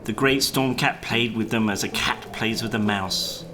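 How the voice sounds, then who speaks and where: A man reading a children's story as a narrator, in a measured, dramatic storytelling delivery. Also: on the low side, background noise. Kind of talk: scripted storybook narration for a live puppet show. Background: plucked guitar music.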